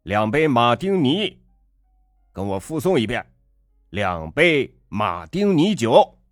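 A man reading a story aloud, voicing a brash, loud middle-aged father who bullies waiters with sarcasm. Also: deep voice, expressive, normal speed, no room sound, clean studio sound, faint music behind. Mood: mocking.

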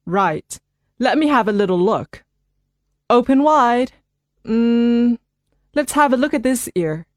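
A woman voicing a calm, reassuring family doctor in a scripted listening dialogue. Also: fairly high pitch, no echo, clean studio sound. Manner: clear, measured, reassuring delivery.

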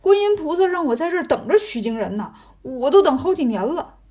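A woman's voice: sits high, very animated, fairly quick, mellow. Woman putting on a whiny, blustering pig demon. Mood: eager. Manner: whiny, indignant, colloquial. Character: blustering, grumbling male pig demon, comic and cowardly.

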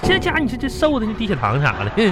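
A man's voice: high-pitched, wildly swooping pitch, racing. A man acting a teasing, bumbling middle-aged husband in a comedic radio drama, with a folksy Northeastern Chinese Mandarin accent and a stammering, wisecracking delivery. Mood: joking. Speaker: radio comedy actor and host. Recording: studio broadcast with music bed. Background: music.

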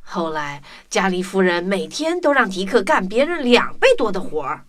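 A woman's voice: mid-range voice, sing-song, normal speed. A woman narrating a scripted children's story, expressively addressing young listeners.

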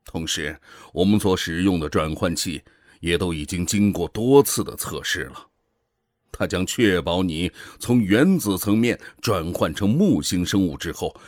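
An actor playing a grave, caring older space station director in a science fiction radio drama, speaking earnestly and with caution. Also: male voice, low voice, animated, measured pace, dry recording, studio quality. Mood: grave concern.

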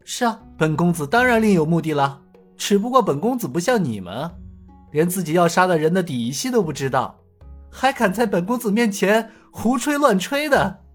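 A man's voice: high-pitched, big pitch swings, soft background music, voice pushed up. A cocky, mocking young swordsman, as a man puts him on. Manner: mocking, laughing, drawn-out taunts.

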